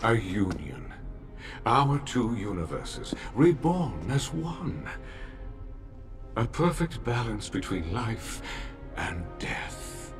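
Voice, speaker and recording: An actor playing a sinister demon lord in a video game, theatrical and deliberate, with silky menace. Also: male voice, lowish voice, sing-song, over music, heavily acted. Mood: scheming, reverent. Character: sinister, grandiose demon lord of another universe. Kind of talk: dramatic video game villain acting. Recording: dramatic score clearly behind.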